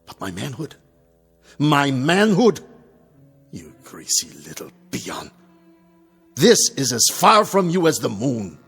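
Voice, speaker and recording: An actor playing a deposed old dictator in a radio drama, defiant and scornful, speaking rhetorically in a gravelly, husky voice. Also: male voice, medium pitch, sing-song, slow, pauses often, a bit bright, exaggerated voice. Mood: scornful defiance. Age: older. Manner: theatrical, rhetorical. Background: faint music.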